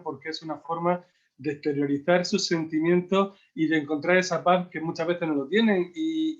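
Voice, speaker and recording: Man, interviewer, measured, fairly high voice, lo-fi audio. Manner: measured, paraphrasing with "eh" pauses. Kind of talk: conversational interview.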